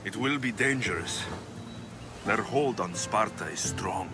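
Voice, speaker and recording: A man's voice: lowish voice, a little slow, lightly acted. A man playing a stoic, determined Greek mercenary in his thirties, terse and brooding, in a video game. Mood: resolute. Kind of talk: dramatic video game acting. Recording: music behind.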